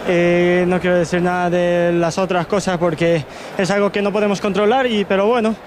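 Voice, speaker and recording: Man, footballer, guarded answers, high voice, loud stadium noise. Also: level tone. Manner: guarded, measured. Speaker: football player interviewed at half time. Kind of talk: short pitchside interview.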